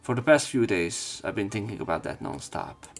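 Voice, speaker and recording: Casting: male let's player, lowish voice, reading visual novel narration over game music. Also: lively intonation, moderate pace. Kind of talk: reading translated game narration and dialogue aloud.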